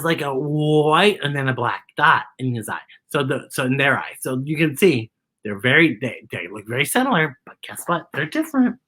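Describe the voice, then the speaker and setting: Animated, bright-voiced woman hosting story time. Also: deep voice, poor audio. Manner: playful, exclamatory, talks directly to young listeners. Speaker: children's story time host. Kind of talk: animated children's picture-book read-aloud.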